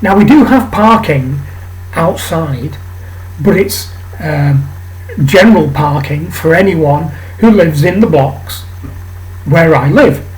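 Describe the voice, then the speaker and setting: A man from England with a raspy voice today, hosting a rambling casual monologue to camera with many ums and restarts. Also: on the high side, animated, takes it slowly, poor audio. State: raspy voice, coughing. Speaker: channel host. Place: home flat.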